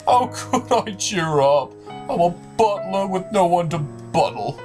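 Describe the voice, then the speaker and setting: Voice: mid-range voice, animated, a little slow, music in the background, natural voice. A performer voicing a melodramatic, dutiful male butler puppet in a comedy skit, theatrical, servile and emotional. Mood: despairing.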